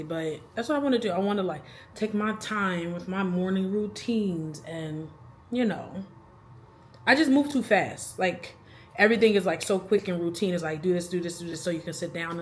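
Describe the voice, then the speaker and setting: A woman's voice: fairly low pitch, slight background noise. A woman in her thirties talking casually to the camera in a rambling, chatty vlog, laughing between thoughts. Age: 30s. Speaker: vlogger.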